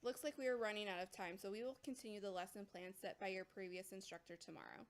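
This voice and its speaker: A woman playing a composed, patient academy instructor, lecturing in a measured, authoritative way as scripted drama. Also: medium pitch, lightly acted. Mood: instructive, composed. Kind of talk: scripted audio drama dialogue.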